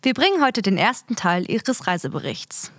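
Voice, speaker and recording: A woman's voice: medium pitch, sing-song, moderate pace, no echo. A twenty-year-old woman from Berlin presenting a scripted radio magazine as host. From Berlin, Germany.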